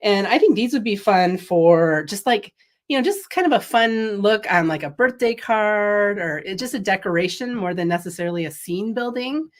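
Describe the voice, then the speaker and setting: A woman's voice: mid-range voice, expressive, moderate pace. A middle-aged woman hosting a casual craft product livestream, chatty and laughing often, a little sniffly from a cold. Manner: chatty, laughs often, reads viewer comments. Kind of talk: casual product demo chat.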